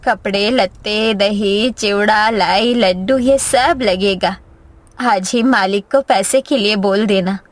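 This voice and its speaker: A woman's voice: medium pitch, slow pace, close to an everyday voice. A woman voicing a worried, careworn mother of a poor household in a story cartoon, plaintive and earnest. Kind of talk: Hindi moral-story cartoon dubbing.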